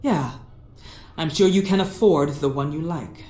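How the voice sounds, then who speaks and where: Stern, higher-pitched doctor, acted by a man. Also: a little mellow, close to an everyday voice. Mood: disapproving. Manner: measured, clinical, disapproving. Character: stern, cautious male asylum doctor. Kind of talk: video game voice acting. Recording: roomy echo with faint noise.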